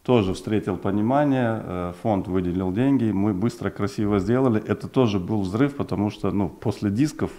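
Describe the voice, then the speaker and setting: A man in his forties, first language Uzbek, talks as a guest in a small television studio. His voice sits low and sounds dark and mellow. He speaks thoughtfully, pausing with fillers and restarting his phrases. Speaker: project developer guest. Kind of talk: studio interview. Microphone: desk mic on stand.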